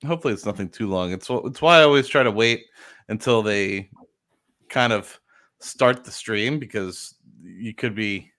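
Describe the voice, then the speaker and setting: Low-voiced man rambling on a livestream. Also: fairly bright tone. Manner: rambling, self-correcting, quick bursts. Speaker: livestream host. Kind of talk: casual chat on a livestream.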